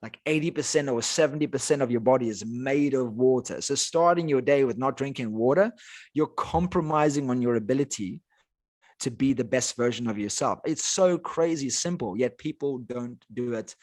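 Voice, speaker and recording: A man with a South African accent, his first language Afrikaans, speaking as a life coach guest in a podcast interview with energetic, emphatic delivery. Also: medium pitch, moderate pace. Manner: energetic, emphatic motivational talk.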